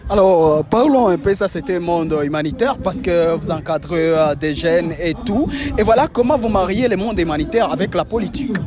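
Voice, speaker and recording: A man hosting an on-location interview, asking questions in a hesitant way with frequent filler pauses. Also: sits high. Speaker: interviewer and channel host. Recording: music clearly behind.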